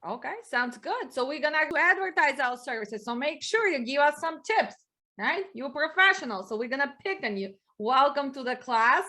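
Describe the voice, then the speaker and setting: A woman's voice: high voice, animated, moderate pace, sharp tone. A woman teaching an online dispatch class, coaching her students directly. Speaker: dispatch training instructor.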